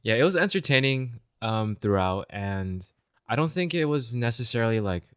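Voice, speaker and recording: A man's voice: medium pitch, lively intonation, normal speed, fairly bright tone, clear, resonant tone, dry recording, studio quality. A man on a podcast panel giving his opinions in casual conversation. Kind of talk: casual film discussion chat.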